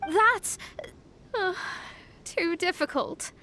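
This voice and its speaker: A woman's voice: very high voice, extremely slow, bright, forceful tone, slight background noise, heavily acted. A woman voicing a haughty, easily flustered young female astrologer in an anime video game, with formal, theatrical wording and stammers when flustered.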